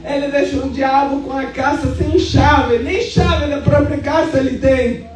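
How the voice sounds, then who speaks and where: A very echoey, slightly distant church recording with music carries the voice of a Brazilian woman pastor. Her voice is extremely high, and she preaches in an emphatic, animated way, telling stories in everyday colloquial speech. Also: a little slow, a crowd in the background. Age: 50s. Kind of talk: charismatic church preaching with personal storytelling. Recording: echoey hall, distant sound, music underneath.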